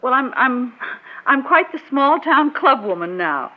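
An old, muffled radio drama recording of a genteel small-town woman. Her high voice swings up and down as she speaks in a flustered, fluttery, halting way. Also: very animated, full character voice. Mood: reluctant. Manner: fluttery, hesitant. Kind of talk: 1960s radio drama acting.

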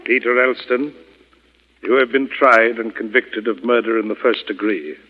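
An actor playing a solemn, stern judge pronouncing in formal, measured tones, in old radio drama style. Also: male voice, medium pitch, fairly even intonation, natural voice. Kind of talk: 1940s radio acting. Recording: echoing, muffled, hissy old radio.

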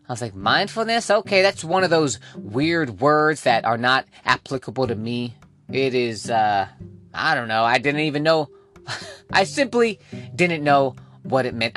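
A man's voice: mid-range voice, a bit bright. A man hosting a motivational podcast, delivering a scripted monologue in a hyped, playful way and drawing out key words for drama. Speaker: podcast host.

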